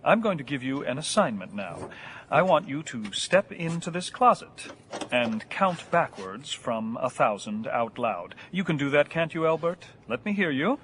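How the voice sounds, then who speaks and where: A man's voice: a little high, big pitch swings, normal speed, fairly bright tone, slight background noise. An actor playing a deranged fugitive gunman in an audio horror drama, menacing yet mock-polite and florid in his speech. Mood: mock-polite menace.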